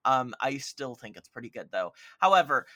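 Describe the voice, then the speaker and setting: A man's voice: mid-range voice, very animated, normal speed, sharp tone. A man chatting casually about films as a podcast guest, opinionated, emphatic and effusive, with rambling asides. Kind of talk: casual film chat.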